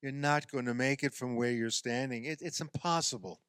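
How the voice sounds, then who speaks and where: A man's voice: mid-range voice, normal speed, a bit bright, clean tone, no room sound, studio quality, natural voice. An actor in a radio play playing an exasperated man who coaxes and explains insistently, often stammering. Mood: flustered. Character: exasperated man coaxing a woman through a playground basketball game.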